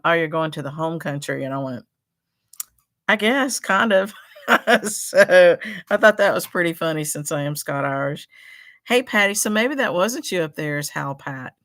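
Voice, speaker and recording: A woman's voice: low voice, sharp tone, no echo, clean studio sound. A middle-aged woman who runs a needlework shop, chatting casually on a livestream, rambling and greeting viewers by name. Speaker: stitchery shop owner hosting a livestream. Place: her shop in a small town.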